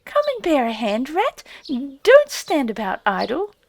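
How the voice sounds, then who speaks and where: A woman voicing a busy, fussy little field mouse for an audiobook, with a primly apologetic, hurried manner. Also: high voice, wildly swooping pitch, pitched above the performer's own voice, exaggerated voice. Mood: eager, bustling. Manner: hurried, stiff, shamefaced. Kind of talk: audiobook character voice.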